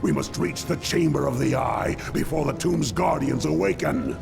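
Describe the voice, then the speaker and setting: Under loud music, an arrogant orc warlock from a fantasy game. His low voice is thick with gravel and swings widely as he declaims with grandiose contempt. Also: animated, normal speed. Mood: commanding, contemptuous. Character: ruthless, arrogant orc warlock, raving and power-hungry. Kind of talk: dramatic video game voice acting.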